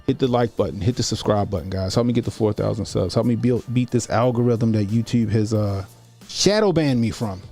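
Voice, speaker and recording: Gravelly forty-year-old man. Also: on the low side, a little fast, warm tone, soft background music. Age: 40s. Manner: informal, repeats phrases for emphasis. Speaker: YouTube commentary host. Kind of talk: casual livestream commentary.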